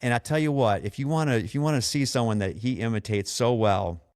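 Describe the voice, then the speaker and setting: A man's voice: mid-range voice, fairly quick, darkish tone, clear, resonant tone, no room sound, studio quality. An American man hosting a podcast, giving a casual, opinionated rant in a blunt, exasperated manner that includes swearing. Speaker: podcast host.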